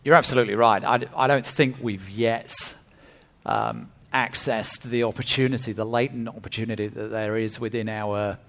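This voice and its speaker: A man on a panel answers in a thoughtful, halting way. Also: lowish voice, fairly even intonation, creaky, fairly bright tone.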